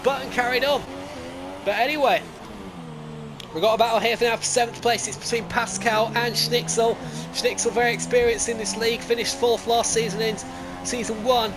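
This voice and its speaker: A man giving live play-by-play commentary on a sim racing league. He gets excited, jumps up at crashes and overtakes, and wanders into rambling asides and self-corrections. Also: extremely high pitch, normal speed, noisy background.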